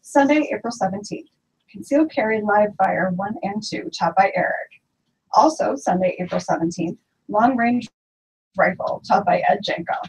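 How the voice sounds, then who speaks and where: A woman co-hosting a talk radio show, reading event and class announcements in a steady, matter-of-fact way. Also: mid-range voice, moderate pace, rough recording.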